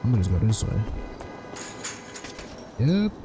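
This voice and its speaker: A man's voice: fairly low pitch, big pitch swings, measured pace. A man casually muttering in slang while streaming a role-play video game. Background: music, street game sounds.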